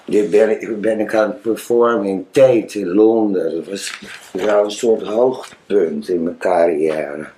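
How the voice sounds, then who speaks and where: A man talking casually and personally in a halting, plain-spoken way, in an echoey room. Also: on the low side, mellow, slight background noise.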